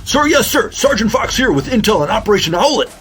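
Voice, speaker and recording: Fox sergeant , male actor, brisk military reporting, high and animated; kids' radio play. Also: faint music behind. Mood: brisk, dutiful. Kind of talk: children's audio drama.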